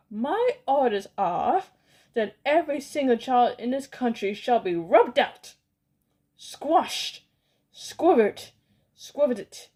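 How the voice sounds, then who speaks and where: A woman's voice: lowish voice, darkish tone, lightly acted. A woman reading a storybook aloud and voicing a furious, domineering female witch leader who shrieks orders in stilted, oddly worded speech.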